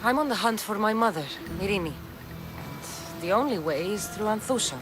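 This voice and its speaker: A hardened female Greek mercenary, voiced by an actress in a game drama. She talks bluntly and firmly, her pitch moving freely, with music underneath. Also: animated, moderate pace, close to an everyday voice. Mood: guarded, bitter. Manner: blunt, firm. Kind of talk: video game dramatic dialogue. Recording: music behind the voice.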